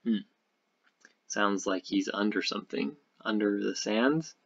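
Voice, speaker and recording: A man's voice: lowish voice, measured pace, vocal fry, muffled recording. A man giving casual, speculative game commentary over a screen share, rambling and thinking aloud. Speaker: gaming video creator.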